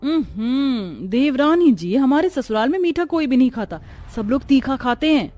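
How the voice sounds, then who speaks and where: Woman acting a shrill, snappish bossy sister-in-law. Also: expressive, normal speed, mellow, dry recording, soft background music. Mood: irritated, bossy. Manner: snappish, emphatic. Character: irritable, bossy sister-in-law in a gluttonous household.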